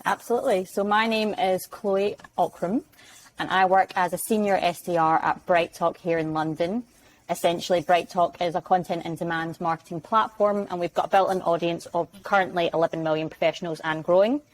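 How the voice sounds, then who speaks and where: A young Scottish woman with a Glaswegian accent tells her story as an interview guest over a video call. Her voice sits a little low for a woman, and she chats easily, slipping into quick quoted back-and-forths. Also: steady tone, slight background noise. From Glasgow, Scotland. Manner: chatty, acts out little quoted exchanges. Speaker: guest, sales development rep.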